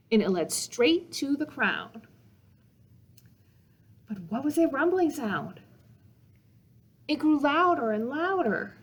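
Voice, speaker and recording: A woman's voice: a little high, husky. A woman reading a children's picture book aloud as a storytime reader, with dramatic emphasis meant to engage young listeners.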